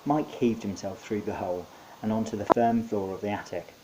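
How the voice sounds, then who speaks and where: A man's voice: on the low side, fairly even intonation, fairly quick. A man narrating his own short story in a wry, conversational storytelling manner. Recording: faint background hiss.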